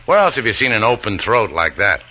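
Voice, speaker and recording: An actor playing a cocky, wisecracking boat skipper and adventurer with an American accent and breezy, sardonic banter, in 1940s radio style. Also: male voice, fairly low pitch, very animated, brisk, sharp tone, heavily acted, rough recording. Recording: old radio recording with faint noise.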